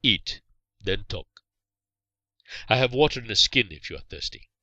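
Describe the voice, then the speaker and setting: Guy doing a storybook saracen warrior, stern but merciful, formal and measured, very sharp forceful voice, dry sound. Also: fairly low pitch, lively intonation, no echo, lo-fi sound, exaggerated voice. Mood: calm, firm.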